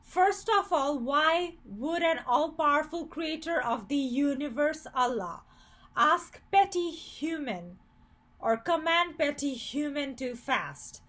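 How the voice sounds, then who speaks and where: A woman's voice: high voice, lively intonation, slow pace, fairly bright tone. A woman from Bangladesh giving an earnest, critical opinion commentary, with occasional stumbles and restarts.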